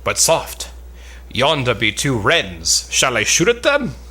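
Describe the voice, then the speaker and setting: A man playing a pompous, foolish braggart knight who declaims grandly in a comic Elizabethan stage play, with a rough tone. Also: medium pitch, huge pitch swings, slow, bright, forceful tone, exaggerated voice. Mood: blustering. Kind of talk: comic Elizabethan stage drama, cold read.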